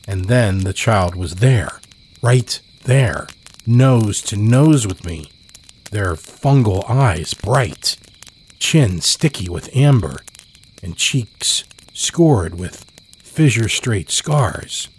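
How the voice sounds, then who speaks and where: A man narrating a horror story as a scripted dramatic reading, deliberate and full of pauses. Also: on the low side, lively intonation, breathy edge.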